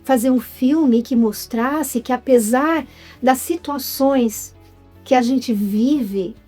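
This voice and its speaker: Mellow-voiced Brazilian woman guest. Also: sits high, slow, faint music behind. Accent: Brazilian Portuguese. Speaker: guest, writer and film producer. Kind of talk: casual interview chat.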